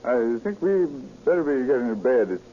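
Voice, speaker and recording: An actor playing an uneasy, cautious traveling salesman with halting speech in a vintage radio crime drama. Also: medium pitch, sing-song, slight background noise, lightly acted. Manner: halting, stammering. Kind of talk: 1930s radio acting. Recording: muffled old radio recording.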